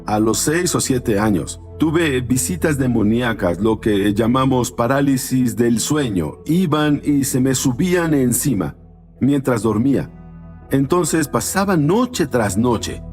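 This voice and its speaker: A man from Panama, a guest on an interview show, telling his life story earnestly, pausing with fillers. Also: medium pitch, expressive, darkish tone, soft background music.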